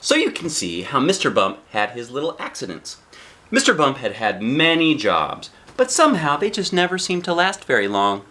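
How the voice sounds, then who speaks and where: A man reading a children's storybook aloud in an expressive way, with drawn-out emphasis on key phrases. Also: on the high side, slight background noise. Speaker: storybook reader, a dad.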